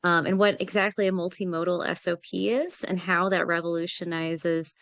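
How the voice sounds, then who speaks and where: A woman's voice: lowish voice, steady tone, moderate pace, a bit bright, dry recording. A woman hosting a business webinar, guiding the talk in a friendly, organized way with frequent "um"s. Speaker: webinar host, project manager.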